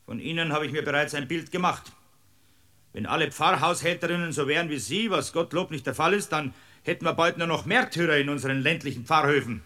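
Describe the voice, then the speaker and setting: Blustering, bright-voiced Bavarian judge. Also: male voice, a little high, fairly quick. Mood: sarcastic, stern. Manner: blustering, sarcastic, barking orders. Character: irascible, impatient male village-court judge. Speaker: actor. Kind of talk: German radio drama acting.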